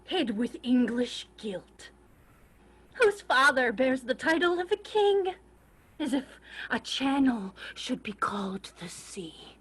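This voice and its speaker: Woman playing a high-pitched, seething, vengeful young nobleman. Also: big pitch swings, slow, fairly bright tone, slightly rough, heavily acted. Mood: cold contempt. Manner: seething, emphatic declamation. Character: Richard, venomous vengeful young crookbacked nobleman. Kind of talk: Shakespearean staged reading. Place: remote reading over a video call.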